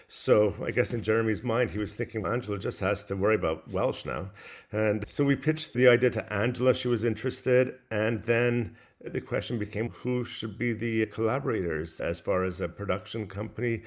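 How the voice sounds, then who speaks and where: Low-voiced man telling a story in conversation. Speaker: composer interviewed as guest.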